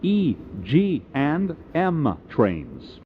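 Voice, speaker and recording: A man reading recorded train transfer announcements, emphatic and deliberate. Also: a little high, wildly swooping pitch. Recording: dull audio with faint noise.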